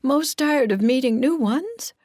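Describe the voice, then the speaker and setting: A woman voicing an excitable, weary but curious male animal in a storybook, exclaiming and questioning. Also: high voice, measured pace, dry recording, studio quality, pitched above the performer's own voice. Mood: weary, startled.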